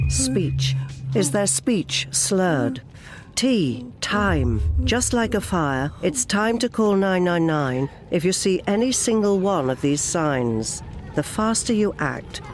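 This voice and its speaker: Low, measured woman narrator. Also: a little mellow. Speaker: advert narrator. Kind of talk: scripted public health narration.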